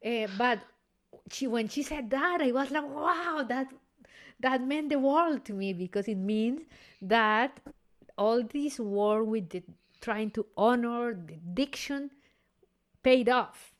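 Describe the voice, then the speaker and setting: A woman's voice: on the high side, slow. A woman with a slightly raised voice, a classical singer chatting in an easy, effusive way. She restarts her sentences often as she searches for the right words. Her speech is loose and conversational. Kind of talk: casual conversation.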